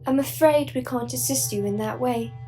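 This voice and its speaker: A woman's voice: sits high, moderate pace, dark tone, soft background music, close to an everyday voice. Teen girl as a coolly polite, menacing alien. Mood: polite menace. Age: teenager. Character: polite, menacing alien parasite in human guise. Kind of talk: radio play acting.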